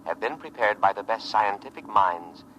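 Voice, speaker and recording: An actor playing a calm, pompous, paranoid war machine, a talking lifeboat, with solemn, measured oratory in an old radio drama. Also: male voice, on the low side, a little hiss or hum. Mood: proudly reassuring. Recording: muffled vintage radio broadcast recording.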